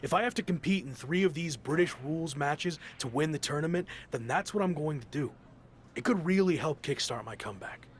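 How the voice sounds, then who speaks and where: An actor playing a weary, determined pro wrestler in a video game cutscene, speaking in a dry, matter-of-fact way. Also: male voice, on the high side, expressive, fairly quick, slight background noise, close to an everyday voice.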